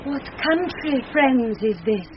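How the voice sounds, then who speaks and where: A woman's voice: high voice, animated, slow pace. An actress with a British accent playing an earnest, resolute young noblewoman in a radio Shakespeare play. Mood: grieving, anxious. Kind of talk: 1970s BBC radio Shakespeare acting. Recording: dull, noisy vintage radio recording.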